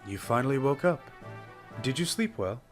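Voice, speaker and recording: A man playing a lively, considerate gentleman doctor. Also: mid-range voice, moderate pace, faint music behind, natural voice. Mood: curious, polite. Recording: loud background ambience noise.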